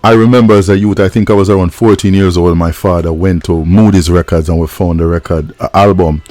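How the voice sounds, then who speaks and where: Deep-voiced laid-back man. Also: fairly even intonation, darkish tone, dry recording. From Jamaica. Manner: laid-back, reflective, drawn-out emphasis. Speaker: host of a music reaction channel. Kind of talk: casual reaction and storytelling.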